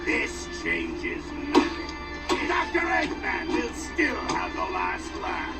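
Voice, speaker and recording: Gravelly, blustering mad-scientist villain. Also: male voice, high-pitched, wildly swooping pitch, slow pace, heavily acted. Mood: defiant. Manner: bombastic, theatrical. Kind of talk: animated video game villain acting. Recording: distant, loud music behind.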